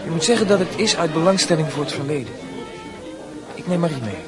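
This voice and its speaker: A man's voice: a little high, animated, fast, lightly acted. A male actor in a Dutch radio drama playing a touchy, lovesick young student, insistent and emotional. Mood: earnest, pleading. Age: young adult. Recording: music and crowd murmur behind.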